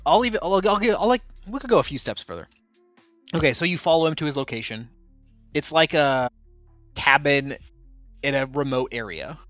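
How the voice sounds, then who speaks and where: A man running a tabletop game, his voice sitting a little high. He talks in a loose, playful way, circling back and restarting as he sets scenes. The sound is close and dry. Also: lively intonation, normal speed, pauses often, fairly bright tone, dry recording, faint music behind. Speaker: game master.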